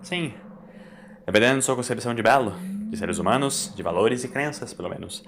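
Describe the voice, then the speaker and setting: A man voicing a weary, brooding male time traveller near his end, in a measured, wry, evasive manner for an audio story. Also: medium pitch, expressive, background noise, close to an everyday voice. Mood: wry, heavy-hearted.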